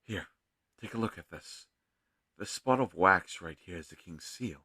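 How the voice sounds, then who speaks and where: Man playing an earnest, very low-voiced fantasy healer. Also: expressive, unhurried, bright, forceful tone, slightly rough, no echo, studio quality, heavily acted. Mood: purposeful, explaining. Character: earnest male healer mage, gentle, hopeful, thoughtful travel companion. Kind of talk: scripted fantasy audio roleplay addressed to the listener.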